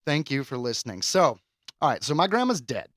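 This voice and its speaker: A man's voice: mid-range voice, big pitch swings, a little fast, no room sound, studio quality. A man co-hosting a podcast in casual, jokey chat, telling things with theatrical flair. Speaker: podcast co-host.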